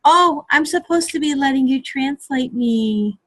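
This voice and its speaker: A woman's voice: high-pitched, a little slow, dry recording. A woman hosting a live stream interview on a video call, talking hesitantly with many ums and restarts. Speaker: host.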